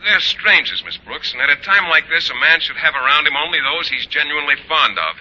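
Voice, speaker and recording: A man's voice: a little high, lively intonation, fairly quick, pushed and bright. An actor playing an earnest, naive, bashful man who talks hesitantly and sincerely in 1940s radio comedy. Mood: earnest, sheepish. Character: earnest, naive, bashful male friend of a schoolteacher. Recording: dull old radio recording.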